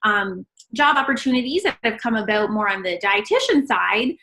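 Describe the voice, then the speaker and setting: Bright-voiced cheerful woman on a call. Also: medium pitch, sing-song, normal speed. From Canada. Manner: cheerful, laughs often. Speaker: registered dietitian guest. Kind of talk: casual interview over a call.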